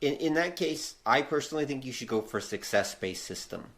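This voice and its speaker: A man's voice: medium pitch, lively intonation, fairly quick, a bit bright. A man hosting a casual podcast chat, agreeable as he explains things with small hedging asides. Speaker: podcast host.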